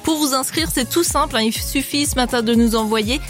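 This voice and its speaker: A woman's voice: high-pitched, brisk, faint music behind. Cheerful woman co-hosting morning radio. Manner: cheerful, laughs easily, many fillers.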